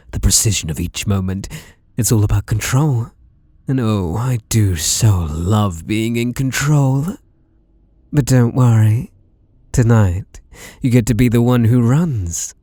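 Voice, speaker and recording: Guy doing a creepy british slasher killer for asmr, dark husky voice, toying and sweet-talking, really close and dry. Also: on the low side, dry recording. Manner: slow, menacing, theatrical, mock-tender murmuring with whispered words. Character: sadistic, teasing, obsessive male masked slasher killer. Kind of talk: ASMR roleplay.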